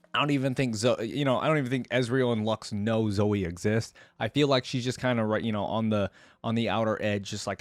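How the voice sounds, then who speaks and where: A man's voice: medium pitch, dry recording. A man hosting a reaction channel, talking casually and informally to viewers in a rambling way, leaning on key words.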